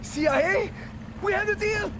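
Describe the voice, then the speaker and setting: An actor playing a panicked, pleading fugitive captive in a game, agitated and urgent. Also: male voice, extremely high pitch, normal speed, noisy background. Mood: panicked, indignant. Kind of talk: cinematic video game acting.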